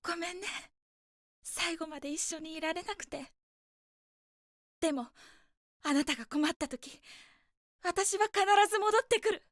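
A woman's voice: very high voice, unhurried, long pauses, no echo. A woman voicing a devoted, brave young mother in an anime film, tender and earnest in her dramatic delivery. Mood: tender, resolute.

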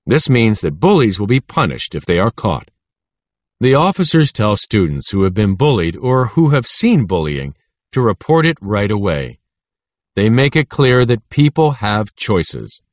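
An American man reading an educational text aloud as a narrator, clearly enunciated and instructive. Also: lowish voice, dry recording, clean studio sound. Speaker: audiobook narrator.

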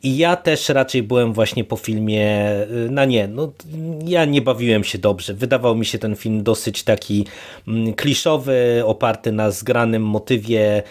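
A man's voice: on the low side, unhurried, a little mellow. A man co-hosting a podcast in casual discussion, speaking hesitantly and building sentences in fragments. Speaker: podcast co-host.

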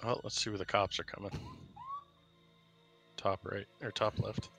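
A man's voice: on the low side, flat delivery, unhurried, vocal fry, a bit bright, faint music behind, low-quality recording. A man playing an online game who throws crude insults at another player in casual banter. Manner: crude insulting trash talk. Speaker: gaming channel co-host.

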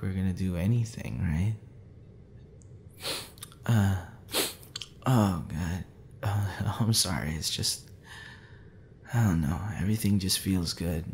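A man's voice: low-pitched, a little hiss or hum, exaggerated voice. A man playing a drunk, flustered young man with a tender streak, stammering and rambling in an intimate ASMR roleplay. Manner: stammering, halting, tipsy rambling with breathy sighs.

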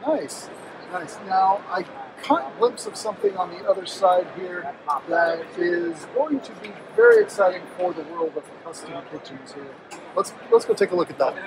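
High-pitched interviewer guy, chatty and upbeat, lots of crowd noise in the hall. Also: sing-song. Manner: upbeat, drawn-out questions with filler uhs. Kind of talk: trade show interview. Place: busy indoor convention hall. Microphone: handheld mic. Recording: noisy trade show floor.

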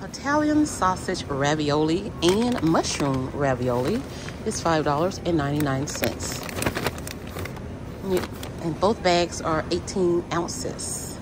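A woman vlogger filming a grocery store walk-through, reading out each item and its price in a slow, deliberate way. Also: deep voice, lively intonation, background noise. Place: inside a large supermarket.

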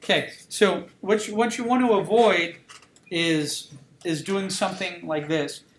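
A man's voice: high voice, measured pace. A man teaching a drawing class, talking casually and checking in with students. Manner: conversational, repeats "okay?" checks with students. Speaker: drawing instructor. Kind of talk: classroom lecture while drawing. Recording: roomy classroom with faint noise.

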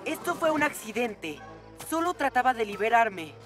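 A woman's voice: fairly high pitch, sing-song, moderate pace, soft background music. A woman with a Latin American Spanish accent voicing an earnest, defensive young armadillo superhero in a cartoon dub. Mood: dejected. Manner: emphatic, protesting.

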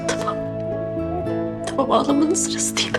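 An actress playing a stubborn young wife who coaxes and pleads with her husband, then answers him with quick, defiant retorts in a TV drama. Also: natural voice. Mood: pleading. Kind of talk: TV drama acting. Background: music score.

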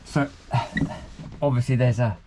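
A man's voice: medium pitch, fairly even intonation, slow pace, noisy background. A man, giving an upbeat walkthrough tour of a small motor boat cabin, filmed on a handheld camera. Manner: chatty, upbeat, pointing out features as he moves. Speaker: boat reviewer.

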